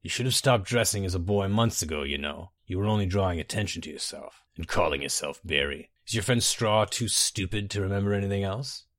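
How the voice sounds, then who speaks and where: A man voicing a wry, menacing dark elf general who speaks softly and in a measured way. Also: deep voice, animated, dry recording.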